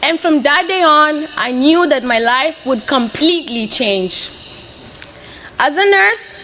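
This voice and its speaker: A woman's voice: high voice, lively intonation, takes it slowly, pushed and bright, a little hiss or hum. A woman in her twenties with a Mozambican accent and Portuguese as her first language, giving an earnest, prepared personal speech as a student speaker. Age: 20s.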